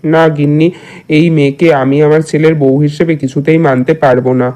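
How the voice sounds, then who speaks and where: A man acts out a middle-aged village father from a cartoon folk tale. He is stubborn and scheming, with a fairly high voice that stays very even and a soft, dark tone. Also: brisk, close to an everyday voice. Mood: scheming, resolute. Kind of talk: Bengali moral-tale cartoon dubbing.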